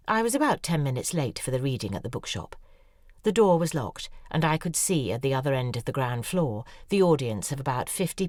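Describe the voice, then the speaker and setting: Low-voiced lady as a needling novelist, swoops all over, dry close sound. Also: a little mellow, no room sound, exaggerated voice. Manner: wry, needling, self-mocking storytelling. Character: sharp-tongued woman novelist reliving her past. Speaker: lead character in audio drama. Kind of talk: dramatic monologue, audio drama acting.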